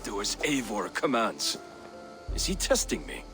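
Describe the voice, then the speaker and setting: A man's voice: lowish voice, big pitch swings, a little slow, pushed and bright, soft background music, heavily acted. A man acting as a proud, grudging Viking raider in a dramatic game cutscene. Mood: resentful.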